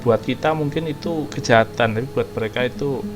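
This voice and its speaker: A man's voice: medium pitch, fast. A young man giving casual game commentary as a streamer. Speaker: gaming streamer. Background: game music and dialogue.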